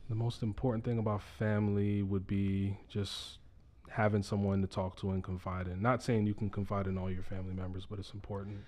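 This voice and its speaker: A man in his twenties from upstate New York, answering interview questions in a calm, low-key way. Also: brisk. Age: 20s. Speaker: guest.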